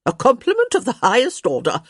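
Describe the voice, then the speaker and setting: Woman acting a sharp, imperious old countess. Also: medium pitch, very animated, unhurried, breathy edge, dry recording, studio quality, voice pushed up, heavily acted. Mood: prying. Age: elderly. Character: sharp, bossy old countess, thin, theatrical and blunt.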